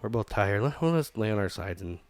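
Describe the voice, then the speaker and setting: This is a young adult man hosting a casual chat podcast, speaking into a studio microphone. His voice sits a little low and his talk rambles, full of restarts and crude humor. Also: expressive, fairly quick, a little hiss or hum. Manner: laid-back, rambling with restarts and crude jokes. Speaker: podcast host. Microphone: XLR studio mic.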